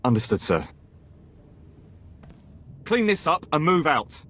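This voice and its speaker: An actor playing a dutiful junior officer in a video game, businesslike and deferential to a superior. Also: male voice, a little high, very animated, takes it slowly, fairly bright tone, husky, exaggerated voice. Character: dutiful male military subordinate officer.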